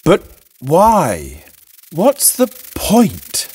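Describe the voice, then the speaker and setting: A man's voice: on the high side, wildly swooping pitch, very slow, a little mellow, breathy edge, exaggerated voice. A man playing a grumpy, bewildered older retired schoolteacher in an audio play, terse and questioning. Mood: baffled. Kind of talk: scripted audio play acting.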